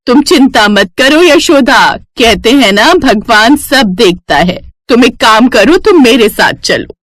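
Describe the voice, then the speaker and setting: Woman as a kindly older cousin, raised animated pitch. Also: slow pace, dry recording, studio quality, full character voice. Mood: reassuring. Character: kindly, reassuring elderly female cousin, independent street vendor.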